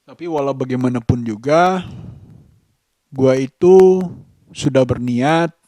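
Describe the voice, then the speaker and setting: Slow-talking man, dry close sound. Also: mid-range voice, dark tone, dry recording. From Indonesia. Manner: halting, deliberate, breaking thoughts into short phrases. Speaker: vlogger. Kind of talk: casual monologue vlog.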